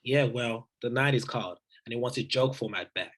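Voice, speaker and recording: A man's voice: mid-range voice, brisk, a bit bright, slightly rough. A man acting an irritable, sarcastic twenty-two-year-old in a screenplay table read. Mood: irritated, sarcastic.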